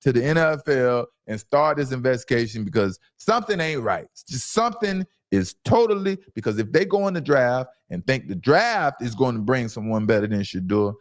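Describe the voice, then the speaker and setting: Male sports commentator, emphatic and opinionated, lively intonation, very dry recording. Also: mid-range voice, moderate pace, hardly any pauses, no echo. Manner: emphatic, insistent, repeats phrases for stress. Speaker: sports commentator and show host. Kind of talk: opinionated sports reaction talk.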